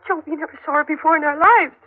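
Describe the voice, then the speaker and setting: A very high-voiced woman acting as a fearful young bride in a radio thriller. She sounds faint, anguished and pleading on a tinny vintage recording. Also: fast talker, slight background noise, lightly acted. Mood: horrified, pleading. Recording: tinny, narrow-band 1940s radio recording.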